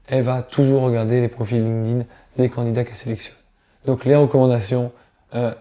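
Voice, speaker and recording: A middle-aged man, hoarse from illness, giving casual career advice straight to his viewers in a direct, coaxing way. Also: medium pitch, dark tone. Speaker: career coach. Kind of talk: casual advice talk to camera.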